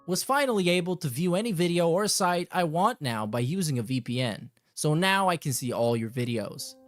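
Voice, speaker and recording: A man hosting a casual livestream, reading messages aloud with irreverent, profane and sarcastic commentary. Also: a little high. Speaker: podcast host.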